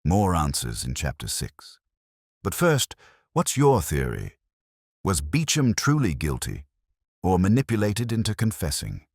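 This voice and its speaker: A man's voice: deep voice, huge pitch swings, a little slow, vocal fry, husky, no room sound, clean studio sound. A man reading a scripted mystery story as an audiobook narrator.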